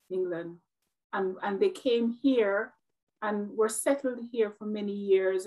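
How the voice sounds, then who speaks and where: A woman's voice: medium pitch, low-quality recording. A middle-aged woman from Jamaica with a Jamaican accent, speaking earnestly and reflectively as an interview guest, often repeating words as she thinks. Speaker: guest, nurse. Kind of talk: interview conversation.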